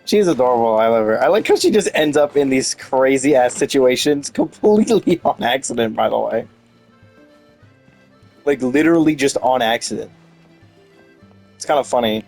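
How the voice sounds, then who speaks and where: A man's voice: mid-range voice, expressive, moderate pace, gravelly, long pauses, darkish tone, faint music behind. A man giving casual commentary as he streams a game playthrough.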